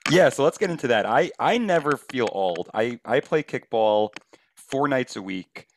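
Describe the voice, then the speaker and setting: A man's voice: medium pitch, expressive, moderate pace, slight background noise. A man in his thirties, a podcast host and league founder, asking questions in a curious, casual way. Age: 30s. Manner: curious, laughs along with guest.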